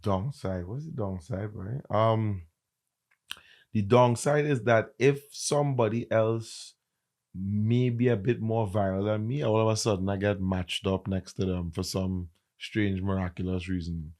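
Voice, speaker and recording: A man with a Trinidadian accent, a content creator and comedian, chatting in an interview and telling stories with lively emphasis. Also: lowish voice, measured pace. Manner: animated, emphatic storyteller. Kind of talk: casual interview chat.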